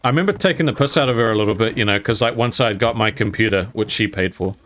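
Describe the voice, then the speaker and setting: A man's voice: on the low side, fast talker, a bit bright, faint music behind. A young man casually telling a story while gaming, rambling and laughing often, with some mild swearing. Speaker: gaming channel host.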